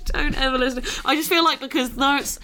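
A woman's voice: high-pitched, steady tone, moderate pace, pushed and bright. A British woman co-hosting a podcast in casual chat, playful and giggly. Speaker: podcast co-host.

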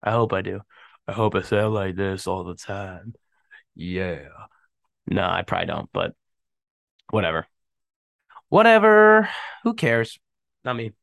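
A man's voice: sits low, sing-song, unhurried, vocal fry, pauses often, a bit bright, no room sound. A young man hosting a podcast, talking in a rambling, slangy way and laughing often, with a stuffed-up nose from a cold. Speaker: podcast host. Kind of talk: casual solo chat.

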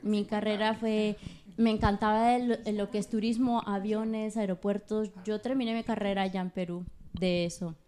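A woman's voice: medium pitch, flat delivery, moderate pace, mellow, a little hiss or hum. A Peruvian woman in her thirties with a Peruvian Spanish accent, a manicurist guest talking earnestly and gratefully on a radio chat show. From Peru. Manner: earnest, grateful, laughs easily.

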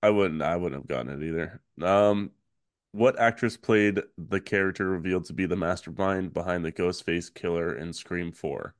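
A man hosting a podcast, his voice raspy and hoarse from a recent illness, reading trivia questions slowly in casual chat. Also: sits low, dry recording, studio quality. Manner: reads questions slowly, relaxed filler-heavy talk. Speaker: podcast host.

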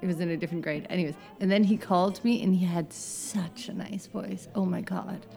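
A woman's voice: on the low side, steady tone, brisk, vocal fry, mellow. A woman from the United States, chatting as a podcast guest, laughing often and wandering into asides. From United States (Southern Oregon). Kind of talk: casual chat.

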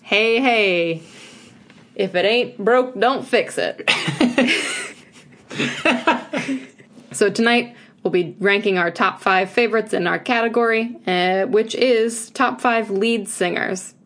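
A woman co-hosts a podcast in relaxed, casual conversation. She talks in a chatty, gushing way and strings her sentences together with plenty of "like"s. Her pitch sits in the middle range for a woman. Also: slow pace. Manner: chatty and gushing, many filler likes. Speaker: podcast co-host. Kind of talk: casual chat.

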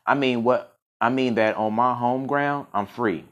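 A man's voice: medium pitch, measured pace, fairly bright tone, dull audio, pitched above the performer's own voice, natural voice. A man voicing a small, plucky male quail in a fable, bold and challenging. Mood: defiant. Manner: exclaiming, challenging.

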